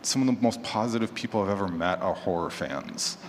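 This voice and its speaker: A man's voice: sits low, expressive, a little fast. A man talking casually on a panel, in a conversational, encouraging manner. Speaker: panelist and horror fiction podcaster. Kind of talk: casual panel talk to an audience. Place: large indoor room at a convention panel. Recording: echoey hall with clear background noise.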